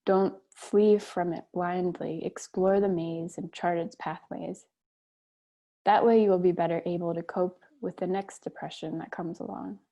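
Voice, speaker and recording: A woman leading a guided meditation on a video call, speaking gently and unhurriedly with long pauses. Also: on the low side, fairly even intonation, gravelly.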